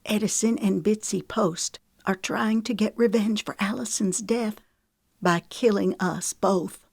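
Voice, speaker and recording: A woman voicing a frightened, tearful young woman who speaks in a hushed, trembling way, in an audiobook reading. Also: mid-range voice, measured pace, creaky, husky, no echo, clean studio sound, voice pushed up. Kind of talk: audiobook character voice.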